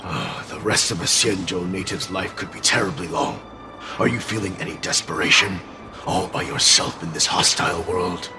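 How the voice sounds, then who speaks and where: An actor playing a sinister, mocking spirit companion in a video game, gravelly, theatrical and menacing, with a taste for blood. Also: male voice, low voice, moderate pace, music in the background. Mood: sly coaxing. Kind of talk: dramatic video game voice acting.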